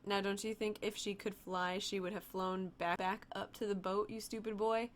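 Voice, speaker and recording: A woman's voice: mid-range voice, level tone, moderate pace, a little hiss or hum, lightly acted. A woman voicing a blunt male fishing crewman who speaks in a sarcastic, scolding way.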